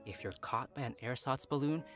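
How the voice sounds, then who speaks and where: A man's voice: mid-range voice, fairly quick, fairly bright tone, faint music behind. A man hosting a game update program, reading announcements with upbeat, playful asides. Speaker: program host. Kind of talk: reading a scripted event announcement.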